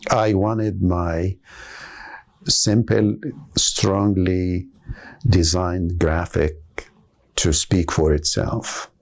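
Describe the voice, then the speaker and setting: An older man from Palestine whose first language is Arabic, speaking slowly and thoughtfully in an interview about his art, with pauses and fillers. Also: low-pitched, level tone. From Gaza, Palestine. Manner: slow, measured, with pauses and fillers. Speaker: calligraphy artist. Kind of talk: documentary interview.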